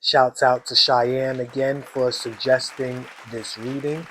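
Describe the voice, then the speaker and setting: A man with a hoarse voice, hosting an astrology reading and talking casually and directly to his viewers. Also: mid-range voice, level tone, fairly bright tone, a faint crowd, a little hiss or hum, rough recording. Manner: slow, deliberate, talks straight to viewers. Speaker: astrology channel host.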